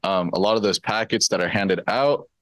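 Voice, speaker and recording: A man's voice: on the low side, normal speed, a bit bright, no room sound. A teenage boy giving a casual student presentation, loose and full of filler words. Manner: casual, lots of fillers, slangy.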